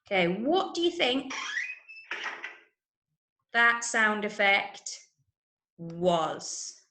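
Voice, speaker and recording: A woman with an English accent teaching an interactive online drama workshop, giving clear instructions and encouraging pupils as she repeats their answers. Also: medium pitch, big pitch swings, extremely slow, sharp tone. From Manchester, UK. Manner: clear step-by-step instructions to children. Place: room, online video lesson. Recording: echoey room sound.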